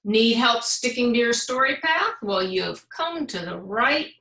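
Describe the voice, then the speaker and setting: A woman voicing a helpful, boastful glue bottle in a storybook, with chummy sales-pitch patter. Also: animated, unhurried, gravelly, pushed and bright, heavily acted. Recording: roomy, echoing sound.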